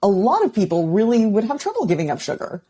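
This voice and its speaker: A woman's voice: medium pitch, huge pitch swings, fast talker, dark tone, husky. A woman hosting a podcast, asking questions and affirming her guest in casual conversation. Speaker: podcast host. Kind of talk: casual interview chat.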